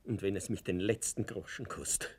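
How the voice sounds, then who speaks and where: A male actor playing a desperate, aggrieved middle-aged Austrian man in a radio play, pleading and colloquial in a husky voice. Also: low voice, fairly even intonation, brisk, a little mellow. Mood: subdued, bitter. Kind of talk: German radio play acting.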